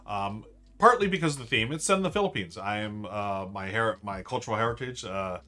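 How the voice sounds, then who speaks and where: Excited, bright-voiced man streaming. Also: on the low side, fairly quick. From United States. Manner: enthusiastic, rambling, many fillers and restarts. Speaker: board game streamer ranking monthly games. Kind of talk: casual live-stream chat.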